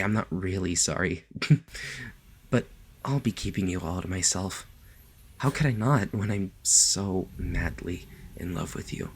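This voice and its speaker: A man playing a lovestruck, possessive kidnapper in an ASMR roleplay, speaking softly and haltingly to the listener, sometimes close to a whisper. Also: on the low side, a little hiss or hum. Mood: smitten, rambling. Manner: soft, gentle, halting, sometimes dropping to a whisper. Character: lovestruck male yandere kidnapper, doting, possessive, awkwardly reassuring.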